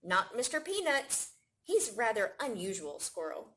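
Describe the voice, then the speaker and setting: A woman reading a picture book aloud to young children in an expressive storytelling manner. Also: fairly high pitch, normal speed. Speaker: library staff storytime reader.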